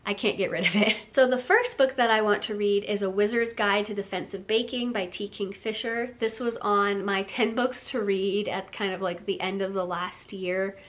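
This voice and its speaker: A woman talks through her reading plans in an easygoing, chatty vlog manner, her voice a bit nasal and stuffed up from a cold. She rambles warmly, leaning on fillers and soft laughs, at an ordinary mid pitch for a woman. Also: steady tone, moderate pace, few pauses. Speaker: book vlogger. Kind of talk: casual book haul chat.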